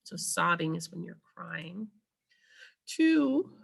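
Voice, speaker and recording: A woman's voice: fairly low pitch, a little slow, creaky, bright, forceful tone, breathy edge. A woman reading a children's novel aloud as a storyteller. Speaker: read-aloud reader.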